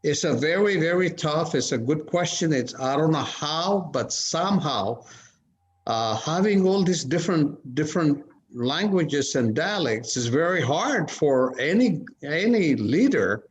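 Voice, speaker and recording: A man from Ethiopia speaking on a video-call panel, measured and halting with frequent restarts. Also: fairly high pitch, expressive. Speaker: conference panelist. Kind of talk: casual panel discussion. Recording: dull lo-fi call audio.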